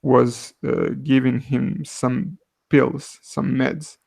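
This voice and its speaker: A man's voice: medium pitch, fairly even intonation, very slow, vocal fry, darkish tone, no room sound. A man from Croatia with a Croatian accent, calling in to an online discussion and speaking hesitantly with many uhs. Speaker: caller.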